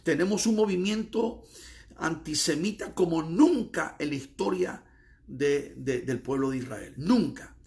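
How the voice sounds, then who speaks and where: Male evangelist, gravelly voice, slightly high pitch, emphatic, drawn-out stresses, middle-aged. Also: animated, a little mellow. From United States. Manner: emphatic, repeats phrases, stretches key words. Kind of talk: preaching monologue.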